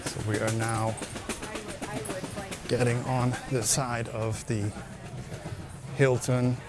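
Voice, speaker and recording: Slow easygoing man walking a beach. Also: mid-range voice, little pitch movement, over loud music. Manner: unhurried, easygoing, repeats words while strolling. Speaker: travel vlogger. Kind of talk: casual walking vlog narration. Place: outdoors on a beach at sunset. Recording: outdoor beach recording with surf noise. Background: waves and surf.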